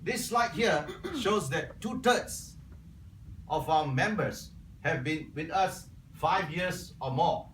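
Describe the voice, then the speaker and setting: A man whose first language is Cantonese gives an earnest prepared speech to his club as its incoming membership officer, often clearing his throat. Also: fairly high pitch, expressive, slow pace, a bit bright, a little hiss or hum. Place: club meeting room. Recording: echoey room, from far off.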